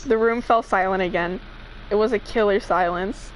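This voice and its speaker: A woman's voice: mid-range voice, normal speed, slight background noise, poor audio. A young woman casually reading fanfiction aloud with joking banter, giggly and free with swearing. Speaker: fanfiction reader.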